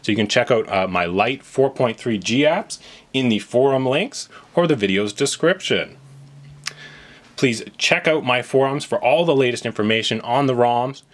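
A man's voice: medium pitch, moderate pace. A man hosting a tech tutorial, narrating a step-by-step walkthrough in an explanatory, reassuring manner. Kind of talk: instructional screen walkthrough narration.

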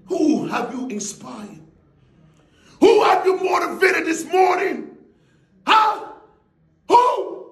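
A man's voice: very high-pitched, vocal fry, fairly bright tone. Male motivational speaker, fiery, preachy delivery, reverberant room sound. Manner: fiery, preachy, hammering rhetorical questions. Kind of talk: shouted motivational speech.

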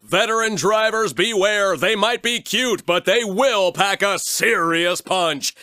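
A man's voice: high voice, takes it slowly, sharp tone, a little hiss or hum, heavily acted. A man voicing a pompous cartoon rooster race commentator in a formal, theatrical sportscaster style. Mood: polished showmanship. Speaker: race show co-host. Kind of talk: animated sportscaster announcing.

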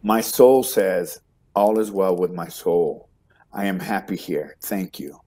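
Middle-aged man with a Texas drawl, speaking in a lowish voice over a home video call. He talks in a loose, rambling way, often doubling words as he gets going. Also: slow. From Texas, United States. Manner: warm, rambling, repeats words when starting sentences. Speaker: guest. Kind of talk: casual interview chat.

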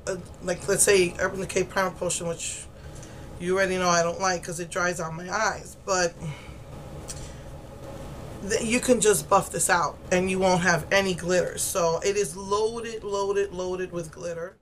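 Woman, middle-aged, congested, hoarse from laryngitis, rambling tutorial chat, close camera mic, faint hiss. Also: lowish voice, steady tone, a little slow, a bit bright. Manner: chatty, rambling with many ums. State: congested, getting over laryngitis. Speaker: makeup vlogger. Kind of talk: casual makeup tutorial. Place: indoors, small room against a pale blue wall. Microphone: camera close to the face.

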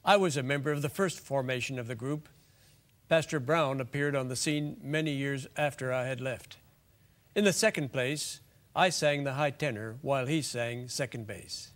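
An older man hosting a television program from a studio set, speaking in a measured, courteous way. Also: medium pitch. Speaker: host. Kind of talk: scripted television presenting.

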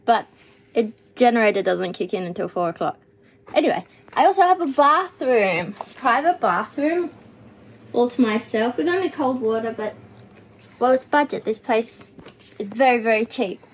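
A young woman narrating a travel vlog in a bright, enthusiastic, chatty way, filming herself on an action camera held at arm's length. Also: fairly high pitch, animated, normal speed, a little hiss or hum, poor audio. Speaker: travel vlogger.

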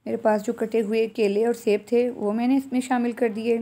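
Mellow-voiced Pakistani woman giving cooking instructions. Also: fairly high pitch, level tone, normal speed. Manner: instructive, addressing viewers directly. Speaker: home cook presenting a recipe. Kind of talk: step-by-step cooking tutorial voice-over.

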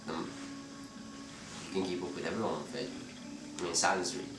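Deep-voiced young man in a bar. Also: fairly quick. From Belgium. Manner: relaxed, hesitant, frequent restarts. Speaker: interviewee. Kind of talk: casual interview answers. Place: dim bar with red walls, indoors. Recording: roomy, distant sound with loud music behind.

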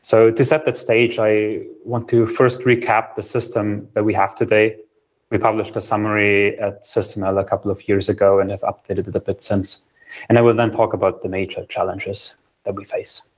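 A young man presenting a technical platform over a video call, steady and explanatory with frequent ums, in a small room. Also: lowish voice, moderate pace. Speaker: software engineer presenting a research platform. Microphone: video call, webcam framing.

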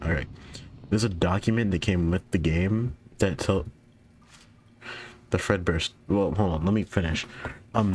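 A young man with a deep, low voice plays through a horror game. He reads in-game notes aloud with casual stumbles and self-corrections, and his voice is worn and giving out. A faint hiss runs under the recording. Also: darkish tone. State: losing his voice. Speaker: gaming YouTuber.

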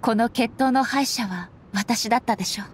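A woman playing a stern, solemn immortal warrior goddess in a game, speaking formally and with measured deliberation. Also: a little high, slight background noise, close to an everyday voice. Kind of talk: dramatic game voice acting. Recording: background music under the voice.

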